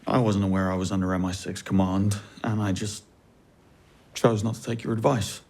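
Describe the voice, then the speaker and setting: An actor playing a cocky, cool young agent recruit in a cinematic spy game. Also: male voice, fairly low pitch, fairly even intonation, moderate pace, darkish tone. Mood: cool, cheeky.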